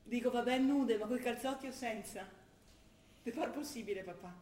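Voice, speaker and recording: An actress playing a young student daughter in a stage drama, earnest and patient, with a Trentino northern Italian accent. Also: female voice, fairly high pitch, moderate pace, darkish tone, natural voice. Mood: defensive, teasing. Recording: roomy theatre sound, music behind. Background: music.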